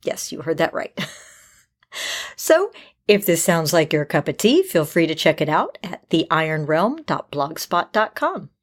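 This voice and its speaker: Low-pitched woman hosting a chatty podcast. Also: big pitch swings, a little slow. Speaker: podcast host.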